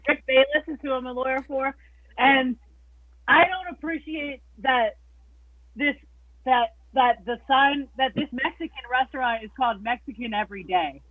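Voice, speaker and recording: A woman's voice: sits high, normal speed, phone-quality sound. A woman improv performer talking animatedly over a video call, restarting her sentences. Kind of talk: improvised scene and casual chat.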